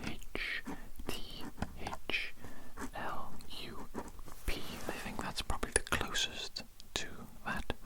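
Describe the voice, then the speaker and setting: Breathy whispery asmr roleplay voice, pompous fussy alien doctor, repeats little phrases, no real voicing. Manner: slow, repetitive, self-important, politely fussy.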